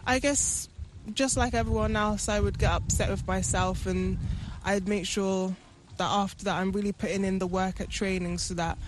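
Soft-spoken teen girl, London accent. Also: mid-range voice, fairly even intonation, moderate pace. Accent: London English. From England. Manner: soft-spoken, hesitant, many fillers. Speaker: interviewed athlete. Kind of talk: casual interview answers.

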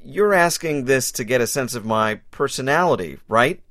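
Brief: man voicing an upbeat young candidate, lively and confident. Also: mid-range voice, moderate pace, close to an everyday voice. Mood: eager. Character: keen young male job applicant. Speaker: job applicant. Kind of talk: scripted dialogue for a language lesson.

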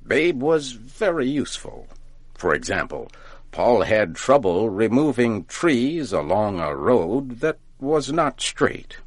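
A man narrating a folk tale from a script, deliberately and with careful enunciation, as if for learners. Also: medium pitch, sing-song, no room sound. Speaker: storyteller, narrator.

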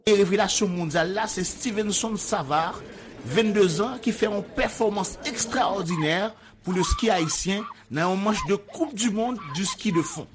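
A man's voice: sits high, a little slow, background noise. A man reporting sports news.

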